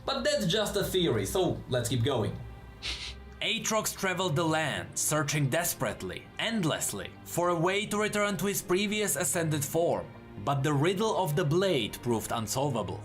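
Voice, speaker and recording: A man's voice: a little high, big pitch swings, normal speed, a bit bright, soft background music. A man giving a dramatic scripted narration of fantasy lore.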